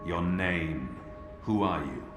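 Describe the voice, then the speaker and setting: An actor playing a grave male fugitive warrior who speaks calmly and tersely. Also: low voice, slow pace. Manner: calm, terse and dry. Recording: roomy with game music behind.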